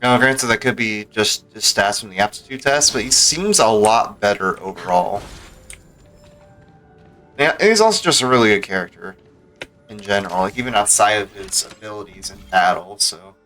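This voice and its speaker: Guy streaming a game, bright voice, kinda rambly, talking it through. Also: lowish voice, lively intonation, normal speed, faint music behind. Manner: chatty, thinks aloud with restarts. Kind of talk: casual gameplay commentary.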